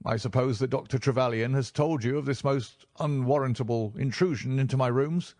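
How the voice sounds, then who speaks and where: A man's voice: medium pitch, fairly quick, darkish tone, no echo, clean studio sound, pitched above the performer's own voice, natural voice. A man voicing a nervous, frightened fat older man with a reedy, quivering voice. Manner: anxious, pleading.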